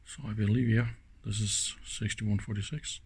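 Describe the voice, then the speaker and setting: A man's voice: on the low side, steady tone, moderate pace, husky. A man giving casual, unscripted hands-on commentary as an electronics hobbyist, musing aloud in short exclamations. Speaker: electronics hobbyist presenting a teardown.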